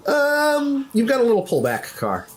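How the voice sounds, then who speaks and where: A man's voice: extremely high pitch, wildly swooping pitch, normal speed, slight background noise. A man working as game master, narrating a tabletop game in an improvised, conversational way.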